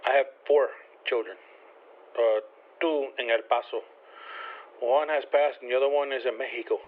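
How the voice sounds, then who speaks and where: A man's voice: mid-range voice, fairly even intonation, moderate pace. An older man with a Spanish accent, talking casually, hesitantly and politely on a phone call, often repeating himself. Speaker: call recipient. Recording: tinny narrow phone line.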